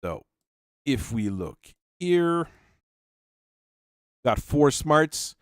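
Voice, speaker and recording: A man hosting a channel, working through tabletop character creation while thinking aloud and reading rules out, with halting pauses. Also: on the high side, big pitch swings, dry recording, studio quality. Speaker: channel host.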